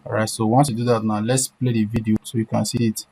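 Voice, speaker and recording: A woman presenting an informal screen-share software tutorial, repeating herself and often checking that listeners are following. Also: a bass voice, level tone, quick pace, slightly rough.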